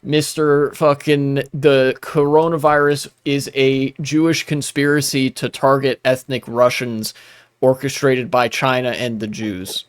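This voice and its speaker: A man's voice: medium pitch, flat delivery, a little slow, clean tone, no room sound. Young guy streamer, chatty and rambly, voice right up close and dry, keeps restarting his sentences. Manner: rambling, with frequent restarts and blunt asides. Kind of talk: casual live-stream chat while gaming.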